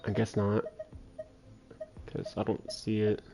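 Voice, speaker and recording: A man's voice: on the low side, completely flat delivery, slow, warm tone, slight background noise. A man casually chatting and muttering to himself as he livestreams a game. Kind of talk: casual gameplay chat.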